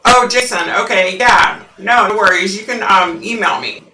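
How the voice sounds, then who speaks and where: A woman's voice: mid-range voice, moderate pace, pushed and bright. A woman with a scratchy voice, a psychic host chatting in a rambling way with viewers on a home livestream, full of fillers. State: scratchy, husky voice. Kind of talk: casual live-stream chat with viewers. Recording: roomy, distant from the mic.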